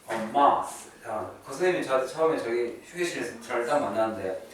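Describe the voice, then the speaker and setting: A man's voice: medium pitch, animated, brisk, a bit bright. A man teaching English grammar in a lecture style, emphatically repeating key terms and asking questions he answers himself. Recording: echoey room, distant mic, faint noise.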